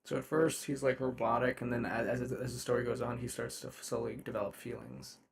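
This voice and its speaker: Casual male streamer with creaky voice. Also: mid-range voice, level tone, brisk. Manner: informal, thinking aloud. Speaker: ASMR roleplay creator, live stream host. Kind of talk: casual behind-the-scenes live stream chat.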